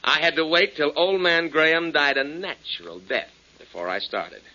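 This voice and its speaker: A man's voice: fairly high pitch, expressive, moderate pace, bright, forceful tone. A man acting a smug, cold-blooded killer in a 1940s radio crime drama, sardonic and threatening. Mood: menacing, smug. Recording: dull lo-fi 1940s radio recording.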